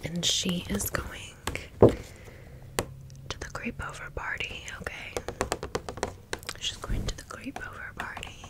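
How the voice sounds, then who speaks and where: A woman whispers through an ASMR video at a very unhurried pace. She lingers on words and phrases, often repeating them soothingly as she hosts. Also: on the low side. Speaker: ASMR video creator and channel host.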